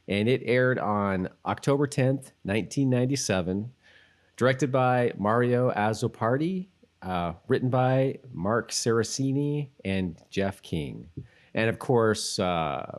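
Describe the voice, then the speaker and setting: A man with a low voice and lively, swinging intonation. He chats casually as a podcast co-host, often breaking off and rewording as he goes. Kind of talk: casual rewatch podcast chat.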